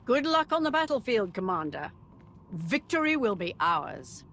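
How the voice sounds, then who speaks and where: Proud warlike empress, mid-pitched, haughty, formal, declamatory, video game, faint background noise. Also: female voice, big pitch swings, normal speed, a bit bright. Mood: bloodthirsty, triumphant. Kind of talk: cinematic video game voice acting.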